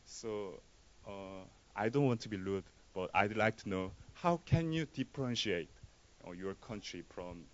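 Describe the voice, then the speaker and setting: A young man from South Korea, a student with a Korean accent, asking a polite, halting question from the audience. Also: fairly low pitch. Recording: dull lo-fi conference recording.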